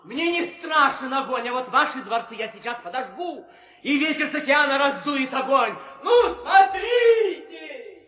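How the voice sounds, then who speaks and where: An actor playing a bold, defiant monkey hero, declamatory in an old radio play. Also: male voice, very high voice, animated, unhurried, pushed and bright, heavily acted. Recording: echoey old studio drama recording.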